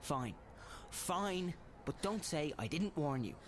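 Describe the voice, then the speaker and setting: A man's voice: a little high, expressive, slow, slight background noise. A man playing a suspicious, hot-headed young rebel in a video game, insistent and distrustful. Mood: indignant. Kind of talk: video game cutscene acting. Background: background music.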